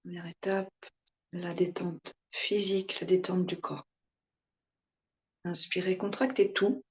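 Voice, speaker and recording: A woman yoga instructor guiding a relaxation meditation in calm, soothing short phrases with pauses. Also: fairly low pitch, slight background noise.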